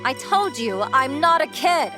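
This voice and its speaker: An actress playing a petite, haughty young interviewer with a childlike cuteness and a huffy, scolding manner in a manga dub. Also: female voice, high-pitched, sing-song, a little slow. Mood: indignant, flustered. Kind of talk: scripted manga dub dialogue. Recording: prominent jingly music behind the voice.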